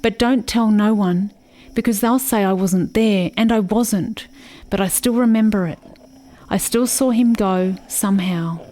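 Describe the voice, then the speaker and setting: A woman acts a haunted young settler girl in a radio drama. She talks very slowly and dreamily, with incantatory repetitions, as if grieving inwardly. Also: mid-range voice, warm tone, natural voice. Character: haunted, dreamy settler girl mourning her lost brother. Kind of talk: literary radio play monologue.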